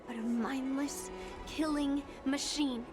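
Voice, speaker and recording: An actress playing a stubborn, angry young swordswoman in a video game, speaking in a deliberate, dramatic way with music behind her. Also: high-pitched, fairly even intonation. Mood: grave, explaining. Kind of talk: scripted video game dialogue. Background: clear game music.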